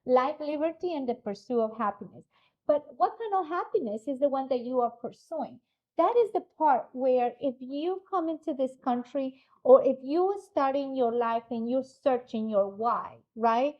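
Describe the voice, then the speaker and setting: A woman's voice: sits high, moderate pace, muffled recording. A woman hosting an interview podcast, upbeat and chatty, who drops in Spanish words. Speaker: podcast host. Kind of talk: casual interview chat.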